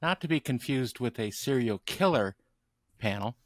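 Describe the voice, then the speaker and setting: A man's voice: mid-range voice, animated, normal speed, dry recording. A man co-hosting a podcast, chatting casually with dry, joking asides. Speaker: podcast host. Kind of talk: casual chat.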